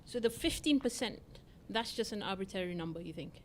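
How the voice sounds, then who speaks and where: A woman's voice: medium pitch, expressive, a little fast. A woman hosting an interview, asking conversational questions and adding short agreeing replies. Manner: conversational, with many backchannel replies. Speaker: interview host.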